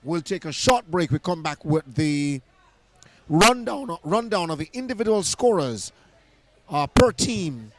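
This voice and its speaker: A hoarse man doing live netball commentary in an indoor arena, excited and often restarting his phrases. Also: on the high side, measured pace.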